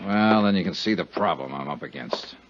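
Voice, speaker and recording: An American actor playing a tough, self-assured insurance investigator in a 1950s radio drama, with a clipped, matter-of-fact delivery. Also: male voice, low voice, lively intonation, brisk, background noise. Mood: matter-of-fact, insistent. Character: tough, sure-minded male insurance investigator hero. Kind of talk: 1950s radio detective drama acting with narration. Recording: muffled 1950s radio recording, music.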